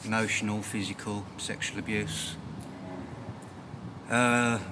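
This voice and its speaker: Low-voiced man speaking frankly over noise. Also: little pitch movement. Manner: candid, plain-spoken, halting. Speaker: poet. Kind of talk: frank personal reflection and poetry reading. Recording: noisy ambience, rumbling background noise.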